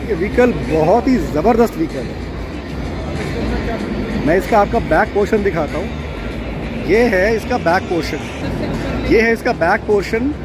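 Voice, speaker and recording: A man's voice: high voice, expressive, moderate pace, long pauses, loud music behind the voice. A middle-aged man narrating a casual walkthrough of cars, mixing in English phrases and repeating names. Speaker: vlogger touring a car show. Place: large indoor auto expo hall. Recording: loud crowd hall noise.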